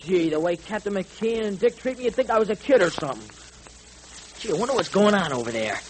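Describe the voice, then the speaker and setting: A man's voice: high-pitched, sing-song, fairly quick, poor audio. An actor playing a plucky, eager boy sidekick in a 1930s radio drama, earnest and slangy. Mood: excited. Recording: hissy 1930s radio recording.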